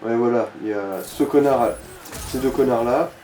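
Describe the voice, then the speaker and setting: A man with a mellow, relaxed voice of average pitch talks through a game as a co-commentator. His speech is casual and salted with swearing. The room echoes around him and there is some background noise. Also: moderate pace. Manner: casual, crude slang and swearing. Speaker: let's play co-commentator guiding the player. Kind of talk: casual gaming chat. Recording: roomy echo with clear background noise.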